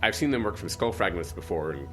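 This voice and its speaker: Male actor as weary lawman, lowish voice, hesitant, plain-spoken, small-town, radio play, faint music behind. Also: big pitch swings, quick pace. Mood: grim, explaining. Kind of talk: audio drama acting.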